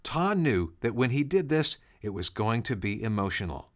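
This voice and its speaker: A man narrating a scripted children's story in an expressive, conversational way. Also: medium pitch, normal speed. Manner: conversational, expressive, rhetorical questions.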